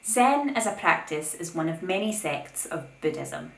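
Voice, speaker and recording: A young woman with a somewhat low voice narrates a scripted art lesson, breaking in now and then with her own opinions. The sound is roomy, with a noticeable echo off the walls of an indoor space. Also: unhurried, creaky, heavy background noise. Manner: opinionated, lively asides between explanations. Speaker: art video host. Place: plain indoor room.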